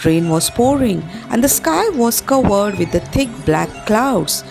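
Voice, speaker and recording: A woman's voice: medium pitch, very animated, measured pace, vocal fry. A woman with an Indian accent narrating a school play as dramatic scripted storytelling, over music. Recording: music behind the voice.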